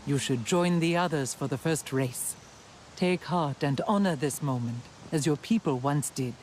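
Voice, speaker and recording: Calm, wise alien clan elder acted. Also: mid-range voice, slight background noise, natural voice. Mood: calm, counselling. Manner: measured, counselling. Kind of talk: video game dialogue acting.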